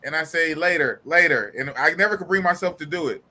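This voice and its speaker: Man, high and bright, quick joker in a group chat. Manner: joking, quick interjections.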